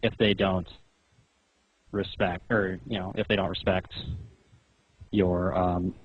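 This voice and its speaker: A man's voice: low voice, little pitch movement, slow. A man on a phone call, questioning and explaining in an insistent, lecturing manner. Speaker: phone caller. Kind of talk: pointed questioning in a phone conversation. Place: recorded telephone call. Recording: muffled, roomy phone call recording.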